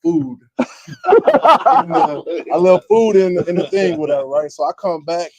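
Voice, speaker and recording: A man, telling anecdotes in a casual, animated, informal way as a guest. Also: sits high, unhurried, gravelly, muffled recording, a crowd in the background. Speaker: former football player, guest.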